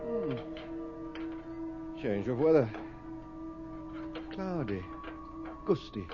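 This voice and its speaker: A man's voice: mid-range voice, sing-song, drawn-out words, over music. A man acting a middle-aged working-class English father, hesitant and fretful, in a radio horror drama. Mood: subdued, musing. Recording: muffled old radio broadcast.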